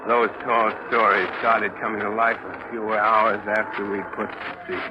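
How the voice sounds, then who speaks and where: A man's voice: lowish voice, little pitch movement, moderate pace, close to an everyday voice. An actor playing a weary, skeptical, plain-spoken first mate telling his tale in a 1940s radio mystery. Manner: plain-spoken, slangy storytelling. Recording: muffled 1940s radio recording.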